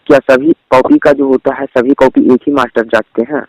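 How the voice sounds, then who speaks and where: A Bihari-accented man talks on a recorded phone call as if from an office. His pitch is average and the sound is dry. He persuades by going round and round his explanations. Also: steady tone, a little fast, vocal fry, no echo. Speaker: phone caller posing as board office official.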